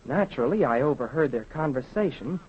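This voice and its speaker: A man's voice: mid-range voice, animated, fairly quick, husky. An American male actor playing an earnest, polite boy telling his adventure in a 1930s radio drama. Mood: chatty, earnest. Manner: polite, eager. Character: earnest, eager boy storyteller Jimmy. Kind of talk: 1930s radio acting, narrating. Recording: dull, muffled 1930s radio recording.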